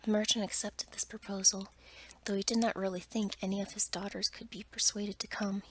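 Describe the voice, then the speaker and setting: A woman reading a bedtime story aloud in ASMR style, in a soft, breathy whisper with careful, hushed delivery. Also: mid-range voice, flat delivery, fairly quick, a little hiss or hum.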